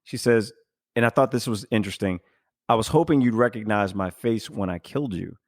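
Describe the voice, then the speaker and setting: A man's voice: fairly low pitch, brisk, dry recording. Man hosting a podcast, close dry sound. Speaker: podcast host. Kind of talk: casual podcast discussion, recapping a story.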